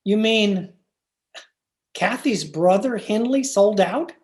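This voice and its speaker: A woman playing a haughty, disapproving, stuffy married gentleman in an old-time radio drama. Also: medium pitch, slow pace, lightly acted. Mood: surprised, stiff.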